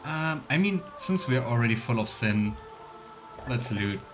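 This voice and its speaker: A man's voice: medium pitch, animated, measured pace. A man streaming a game with casual, rambling commentary, musing and thinking aloud. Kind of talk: casual Let's Play commentary. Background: faint game music.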